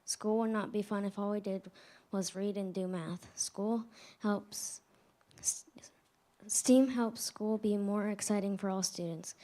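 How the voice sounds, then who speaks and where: A woman's voice: mid-range voice, steady tone, unhurried, dark tone. A fourth-grade girl reading a prepared public comment at a school board meeting, carefully, slipping into whispers at times. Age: child.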